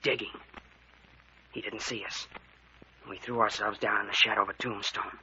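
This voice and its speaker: An excitable, husky young storyteller, old radio. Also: male voice, fairly low pitch, normal speed, exaggerated voice. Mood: haunted, hushed. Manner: dramatic, suspenseful storytelling with repeated words. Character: excitable young man recounting a graveyard horror. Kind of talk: old-time radio thriller acting. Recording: dull old radio drama recording.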